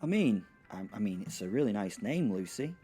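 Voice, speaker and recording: A man voicing a naive, flustered, slow-witted male werewolf in an audio drama, hesitant and bewildered. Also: on the low side, big pitch swings, mellow, breathy edge, soft background music. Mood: confused, awkward.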